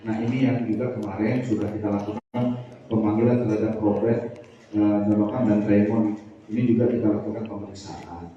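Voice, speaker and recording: A man speaking as a police official at a press briefing, in a measured official tone with frequent hesitations. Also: fairly low pitch, steady tone, slight background noise. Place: large hall at a press conference. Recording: echoey hall from far off, dull lo-fi.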